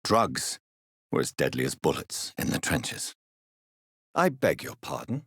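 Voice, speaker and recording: A troubled gentleman doctor turned vampire in dramatic game dialogue. His voice is very low and husky, with an expressive, wide-moving melody. He speaks in a formal, measured, courteous way, grave and earnest, in a close, dry recording. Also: no echo, heavily acted. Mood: composed, earnest. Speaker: video game protagonist.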